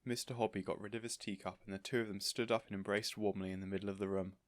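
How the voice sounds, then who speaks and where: A man with a fairly low voice reads a children's story aloud. It is scripted audiobook narration, recorded dry with very little room sound. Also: little pitch movement, fast talker, no room sound. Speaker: audiobook reader.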